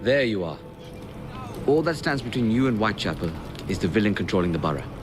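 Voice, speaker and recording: A man voicing a courteous, self-possessed Victorian gentleman ally, speaking formally and expressively in a game cutscene. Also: on the low side, sing-song, a little fast, over music. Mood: brisk, instructive. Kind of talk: dramatic game cutscene acting.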